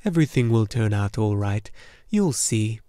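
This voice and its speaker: A man's voice: on the low side, lively intonation, moderate pace, mellow, smooth, dry recording, natural voice. Man playing a cheerful luck dragon, buoyant, hopeful, dry close sound, storybook.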